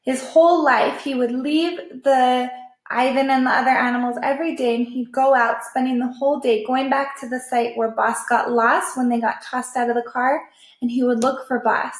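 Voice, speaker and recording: A woman's voice: high-pitched, fairly even intonation, moderate pace. A woman teacher reading a children's book aloud expressively, explaining it and checking understanding as she goes. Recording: echoey room.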